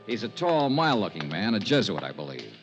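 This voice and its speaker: An actor playing a worldly insurance investigator in a 1950s radio drama, clipped and matter-of-fact. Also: male voice, lowish voice, big pitch swings, fairly quick, muffled recording, over music. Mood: brisk, official. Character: brisk, worldly male insurance investigator, coolly sympathetic. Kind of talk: 1950s radio drama dialogue and scripted narration. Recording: vintage 1950s radio recording.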